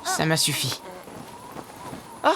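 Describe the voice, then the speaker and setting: A woman's voice: takes it slowly, pushed and bright, a little hiss or hum. A woman playing a friendly, eager desert boy in a French children's cartoon. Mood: cheerful. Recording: music behind the voice.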